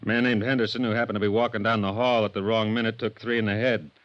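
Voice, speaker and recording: An actor playing a tired, tough but caring police sergeant in a 1950s radio drama, talking in blunt, terse slang. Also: male voice, on the low side, flat delivery, fast, a bit bright, lightly acted. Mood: grim, weary. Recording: dull, muffled vintage radio recording.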